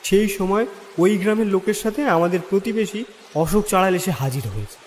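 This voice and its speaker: A man's voice: high voice, animated, quick pace, darkish tone, a little hiss or hum. A man playing a lovelorn young village man, earnestly recounting his troubles in an audio drama. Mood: troubled recounting. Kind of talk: dramatic first-person storytelling.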